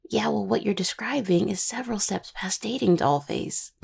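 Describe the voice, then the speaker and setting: Woman acting husky, gravelly young necromancer partner. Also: medium pitch, unhurried, a little mellow, exaggerated voice. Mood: playful teasing. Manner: hesitant, teasing, full of pet names. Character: caring, teasing young necromancer healer, affectionate partner. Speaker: voice actor in roleplay audio. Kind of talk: intimate conversational audio roleplay.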